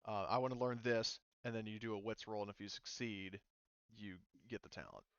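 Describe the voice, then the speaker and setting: A man's voice: lowish voice, steady tone, brisk, fairly bright tone, clean tone, no echo, lo-fi sound. A man working as game master, casually explaining tabletop game rules in an informal way.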